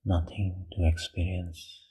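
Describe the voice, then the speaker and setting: Man, meditation guide, mellow dark tone, even monotone delivery, hushed, breathy, unhurried short phrases. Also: sits low, rough recording. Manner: slow, hushed, long pauses between short phrases.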